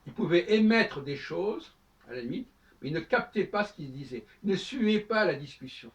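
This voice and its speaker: A man giving a spoken lecture on his own, talking in halting bursts with many restarts. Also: high-pitched, animated. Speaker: host. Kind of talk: lecturing monologue. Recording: dull sound, from far off the mic.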